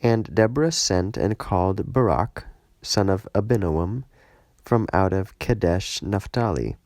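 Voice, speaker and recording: Male narrator, slow solemn Bible reading, lowish voice, measured phrasing, dry close sound. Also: darkish tone, no echo. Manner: measured, deliberate, short phrases with pauses. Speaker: scripture reader, audiobook narrator.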